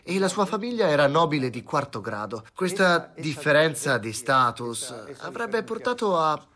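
A man in his fifties giving a historian's interview, explaining in a calm manner, heard as a dubbed voice-over. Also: fairly high pitch, normal speed.

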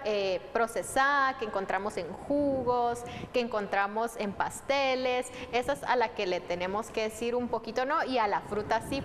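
A young woman hosting conversationally and asking questions. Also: on the high side. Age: young adult. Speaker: host. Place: studio set. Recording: hall reverb, background noise.

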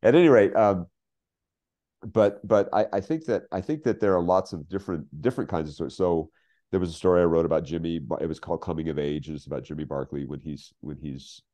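A man in his sixties talking reflectively as an interview guest, halting and repeating phrases, on a video call. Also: low-pitched, dark tone. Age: 60s. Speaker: guest, writer. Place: home room with bookshelves, on a video call.

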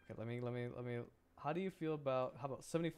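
A man's voice: medium pitch, very fast, darkish tone, slight background noise. A young man streaming a video game, chatting casually and rambling, often repeating words as he thinks aloud.

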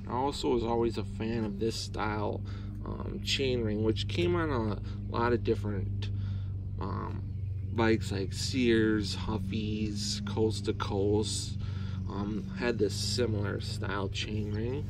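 A man's voice: on the low side, steady tone, slow pace, creaky. A young adult man giving a casual, enthusiastic, unscripted review in colloquial speech. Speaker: vlogger reviewing vintage bikes. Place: outdoors, in a yard and driveway. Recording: outdoor handheld recording with ambient noise.

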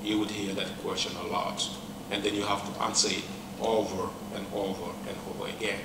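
A middle-aged man from Senegal, whose first language is not English, gives a personal lecture in a large hall, speaking in a measured, reflective way with many pauses. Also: on the low side, little pitch movement, recorded from a distance. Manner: reflective, measured, pausing often. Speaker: professor giving a lecture. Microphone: handheld mic, far camera. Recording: echoey hall mic with room noise.

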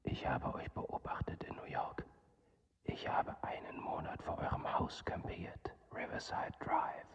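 A male actor performs a weary man who has given up on life. He confesses in a breathy whisper, resigned and haunted, in the manner of an intimate radio play. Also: a little slow, highs cut off, close to an everyday voice. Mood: bitter, haunted. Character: weary, death-seeking man confessing from hiding. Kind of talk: radio drama acting.

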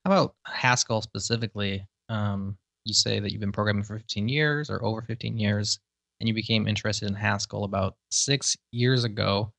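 A male interviewer speaks conversationally in a low voice with a lot of creak. The sound is close and dry, as on a podcast. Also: steady tone, moderate pace, a bit bright, dry recording. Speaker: podcast host.

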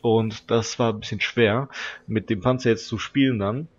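A man's voice: lowish voice, moderate pace, lo-fi sound. A teenage boy with a stuffy nose from a cold, chatting casually as he comments on his own gameplay for viewers. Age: teens. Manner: chatty, relaxed, talks to viewers. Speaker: gaming channel host.

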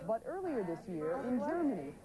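A woman reporter narrating a news feature in a measured, wry way. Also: on the high side, sing-song, over a noisy crowd.

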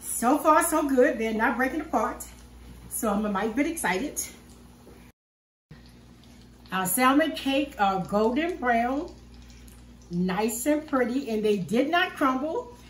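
An older woman with a slightly high voice talks through her home recipe step by step, homey and unhurried. She stands back from a camera in her kitchen, so the sound is roomy and distant, with faint clatter of dishes and pans. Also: expressive, a little hiss or hum. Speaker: home cooking host. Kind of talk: casual cooking demonstration. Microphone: far camera on the counter.

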